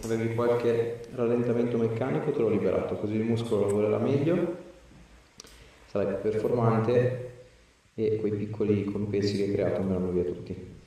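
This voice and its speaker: A man's voice: fairly low pitch, steady tone, normal speed, warm tone. A man, an osteopath giving short, encouraging casual instructions to a patient during treatment. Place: small treatment room indoors. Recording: roomy, distant mic, faint room noise.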